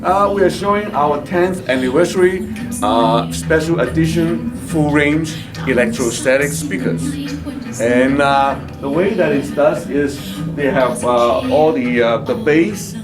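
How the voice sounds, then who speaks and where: A man informally presenting his company's products in an interview, speaking haltingly with many fillers. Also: fairly high pitch. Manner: hesitant, halting delivery with frequent fillers. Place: demo room at an audio show. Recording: music playing in the background. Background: music playing.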